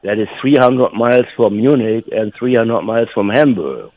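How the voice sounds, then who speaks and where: An elderly man from Germany with a German accent, reminiscing casually in a halting, rambling way and repeating himself. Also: mid-range voice. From North Rhine-Westphalia, Germany. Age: 70s or older. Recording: tinny phone line.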